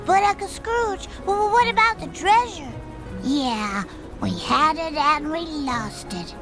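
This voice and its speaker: A woman's voice: high-pitched, lively intonation, slow. A woman voicing an eager, earnest young duck boy, chirpy, over game music.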